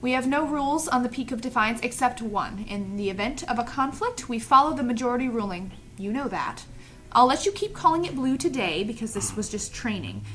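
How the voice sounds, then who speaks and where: A woman's voice: fairly high pitch, animated, brisk, fairly bright tone, poor audio. A woman playing a strict, self-righteous trainer of children in an audio drama table read, stern and lecturing.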